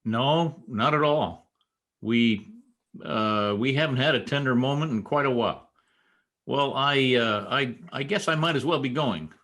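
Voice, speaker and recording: A man's voice: medium pitch, a little slow, fairly bright tone, natural voice. A man playing a proud, sulky young newspaper reporter in an old-time radio drama, stiff and curt. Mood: awkward, curt.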